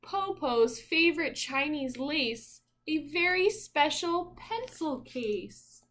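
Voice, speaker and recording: A woman teacher reads a children's picture book aloud in a fairly high voice. Her pace is very slow and sing-song. She adds little exclamations and asides and invites young listeners in with questions. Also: lively intonation, fairly bright tone, a little hiss or hum.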